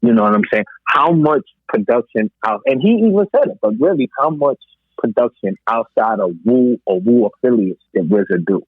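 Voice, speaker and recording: A man's voice: medium pitch, animated, moderate pace, slightly rough, no echo. An American man in a casual podcast debate about music, speaking emphatically and repeating himself for stress. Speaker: podcast host discussing music. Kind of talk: casual hip hop debate. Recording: muffled narrow radio-like sound.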